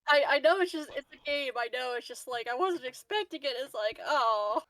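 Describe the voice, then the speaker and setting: A woman's voice: high voice, animated, a little fast, bright, forceful tone, no room sound, poor audio. A woman chatting casually as a player in a tabletop role-playing game. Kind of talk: casual gaming chat.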